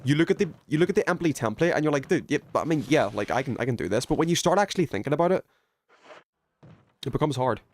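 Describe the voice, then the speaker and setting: A man's voice: fairly high pitch, expressive, fast. A man hosting a video game livestream, rambling and thinking aloud as he chats casually with viewers. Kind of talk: casual gaming stream chat, reading viewer messages.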